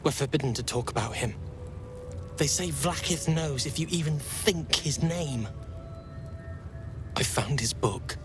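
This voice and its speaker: An actor playing a timid, dreamy young male githyanki trainee in a video game, speaking hesitantly and earnestly with loud music behind. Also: a little high, a little slow, pauses often, lightly acted. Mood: awed, secretive.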